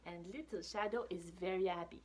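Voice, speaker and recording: A woman's voice: on the low side, brisk, background noise. A woman in her thirties reading a picture book aloud to children, expressively, with casual asides. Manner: expressive, with whispered asides and questions to listeners. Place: small indoor room with brick wall.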